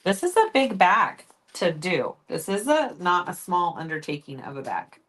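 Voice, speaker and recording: Host: bubbly woman, animated pitch, laughs a lot, reads viewer comments aloud. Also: moderate pace. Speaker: host of a live sewing stream. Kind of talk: casual live-stream chat.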